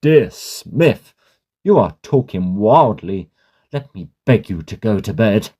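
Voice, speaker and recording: A man playing a sceptical, concerned learned gentleman in a Victorian horror drama, with a measured, reasoning, formal manner. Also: lowish voice, very animated, breathy edge, exaggerated voice. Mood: puzzled, concerned.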